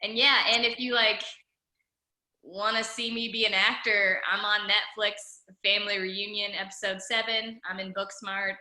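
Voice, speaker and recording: Upbeat lady on a video call, super bright sharp voice, echoey room. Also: medium pitch, flat delivery, normal speed. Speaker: actor and tap teacher. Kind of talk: casual video-call chat.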